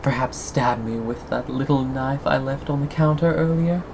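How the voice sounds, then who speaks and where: A performer of unclear sex playing a sadistic, possessive cannibal captor in an ASMR roleplay, lingering on words with mock tenderness and soft menace. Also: medium pitch, moderate pace, creaky, a little hiss or hum, natural voice. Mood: teasing, mocking. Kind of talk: ASMR roleplay, scripted monologue to listener.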